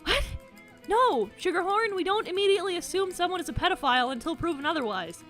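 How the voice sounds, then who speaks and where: Female commentary host, high voice, blunt and sarcastic, swears freely, music faintly behind. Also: animated, quick pace, a bit bright.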